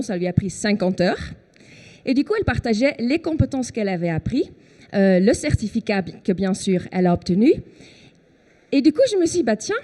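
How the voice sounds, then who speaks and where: A woman from the Netherlands gives a conference presentation in French with a noticeable Dutch accent. Her voice sits a little high but sounds mellow and relaxed. She explains her points step by step, with frequent fillers and small restarts. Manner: explanatory, with many fillers and self-corrections. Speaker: conference speaker. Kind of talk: presentation at a trade show.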